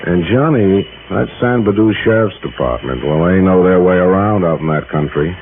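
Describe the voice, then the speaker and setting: A man's voice: sits low, animated. A man acting a talkative, eager American insurance man in a 1950s radio drama, slangy and salesman-like. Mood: chatty, confiding. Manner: slangy, hesitant pauses, salesman-like. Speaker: radio actor playing a supporting part. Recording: muffled, noisy old radio broadcast.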